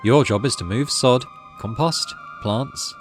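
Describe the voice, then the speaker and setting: A man voicing a brisk, no-nonsense colonist mother in a game, instructing her child matter-of-factly. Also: mid-range voice, soft background music, close to an everyday voice. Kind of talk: reading visual novel game dialogue.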